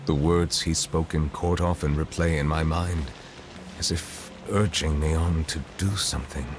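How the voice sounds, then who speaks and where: A solemn chief judge for a video game. His voice is deep, his delivery formal and restrained. Music plays clearly behind his measured words. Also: fairly even intonation. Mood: solemn regret.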